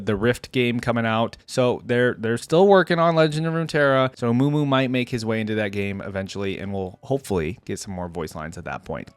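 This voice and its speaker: A man's voice: mid-range voice, smooth, no echo. Casual male vlogger, dry close sound. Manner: rambling, thinking aloud. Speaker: YouTube host reacting to game characters. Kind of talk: casual reaction commentary.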